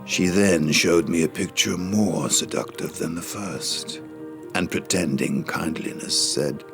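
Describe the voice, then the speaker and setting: A man narrating as a worldly gentleman memoirist, in scripted dramatic style with formal, measured literary phrasing. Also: deep voice, creaky, over music, full character voice. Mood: detached, confiding. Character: worldly gentleman memoirist recalling his seductions. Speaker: narrator reading a memoir in first person.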